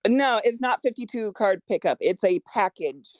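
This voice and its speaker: A woman's voice: mid-range voice, expressive, moderate pace. A woman talking casually and hesitantly about her own script, often stammering as she explains her choices. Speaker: screenwriter discussing own script. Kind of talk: casual feedback discussion.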